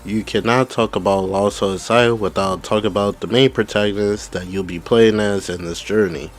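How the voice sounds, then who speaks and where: Man with flu-tinged low voice. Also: fairly even intonation, normal speed, soft background music. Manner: reads text aloud with stumbles and restarts. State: coming down with the flu. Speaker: gaming channel host. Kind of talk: casual video commentary reading game news.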